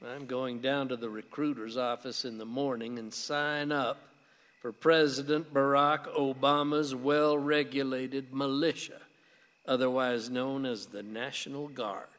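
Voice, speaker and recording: A man's voice: mid-range voice, fairly even intonation, measured pace, a bit bright, close to an everyday voice. Man playing folksy old grandpa in a reverberant hall. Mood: calm resolve. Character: folksy, stubborn but tender old grandfather. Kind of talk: radio play acting.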